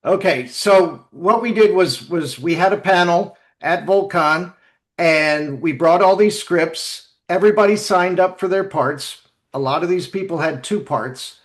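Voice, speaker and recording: A man hosting a podcast in casual discussion, speaking in a halting way and repeating phrases as he goes. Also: high-pitched. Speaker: podcast host.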